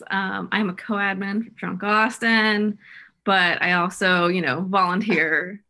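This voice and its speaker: A woman's voice: medium pitch, pushed and bright. A woman introducing herself casually and a little hesitantly as a guest. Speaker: guest, group co-admin.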